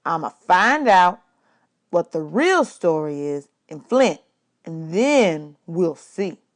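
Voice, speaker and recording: A woman voicing a gruff, grudging older bandleader in a storybook, slow, emphatic and grumbling. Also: fairly low pitch, very animated.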